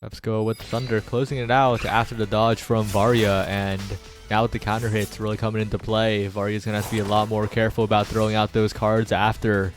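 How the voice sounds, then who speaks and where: Low-voiced man commentating a game over music. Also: flat delivery, fairly quick. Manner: long running sentences following the action. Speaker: esports commentator. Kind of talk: live play-by-play game commentary.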